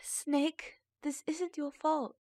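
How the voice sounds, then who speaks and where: An actress playing a gentle, earnest young female support officer in a radio drama. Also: high-pitched, little pitch movement, drawn-out words, no room sound, clean studio sound. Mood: consoling.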